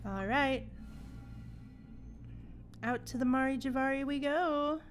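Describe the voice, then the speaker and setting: A woman's voice: high-pitched, slow. A woman chatting casually as she livestreams a video game, with playful exclamations and slang. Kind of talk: casual livestream gameplay chat. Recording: game music behind the voice. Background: video game music.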